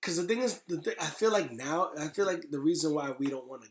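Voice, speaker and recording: A man's voice: on the high side, quick pace. A man talking casually and informally as a podcast host, giving blunt opinions with some swearing. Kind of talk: casual chat about movies. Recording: roomy, echoey sound.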